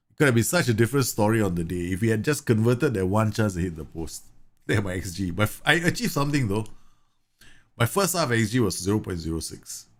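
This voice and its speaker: Male streamer from Singapore, slightly low-pitched, relaxed running commentary. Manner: chatty, laughs often, reads game messages aloud. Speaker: gaming streamer. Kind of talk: casual live game commentary.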